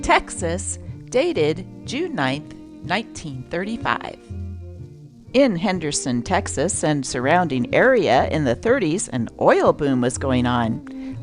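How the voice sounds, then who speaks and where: A woman host reading vintage newspaper stories aloud as scripted narration, with deliberate, expressive phrasing. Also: low-pitched, soft background music. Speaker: channel host and newspaper reader.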